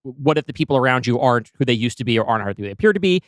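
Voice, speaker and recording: A man chats casually as a podcast co-host. He talks fast at an ordinary male pitch, recorded dry with very little room sound. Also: lively intonation, no echo. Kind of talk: casual back-and-forth chat.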